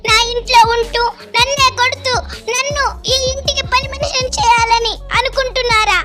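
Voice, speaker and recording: A woman voicing a mistreated young stepdaughter in an animated children's story, emotional and protesting. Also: very high voice, normal speed, soft background music. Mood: defiant, upset. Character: mistreated young stepdaughter, defiant yet pleading.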